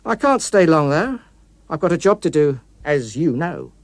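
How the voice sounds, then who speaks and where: A man's voice: a little high, very animated, moderate pace, dry recording. A sinister, vengeful criminal in police uniform played by a man reading an audiobook. His voice is raised and swings sharply as he taunts and accuses. The sound is close and dry. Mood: gruff, curt. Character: menacing rogue policeman, a vengeful criminal come to life. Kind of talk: dramatic audiobook character.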